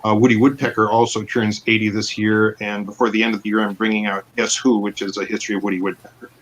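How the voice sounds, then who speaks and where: A man's voice: on the low side, level tone, fast talker, a little hiss or hum, rough recording. A man introducing himself as an author in a matter-of-fact, informative panel chat. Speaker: author guest.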